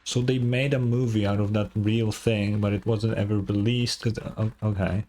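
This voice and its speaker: A man's voice: on the low side, little pitch movement, moderate pace, dark tone. A man streaming a game, casually reacting and reading on-screen text aloud in an offhand, unimpressed way.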